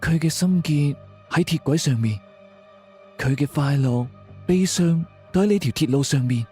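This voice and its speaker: A man playing a calm, perceptive investigator in an audio drama, explaining things in a measured way. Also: on the high side, breathy edge. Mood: grave, analytical. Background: background music.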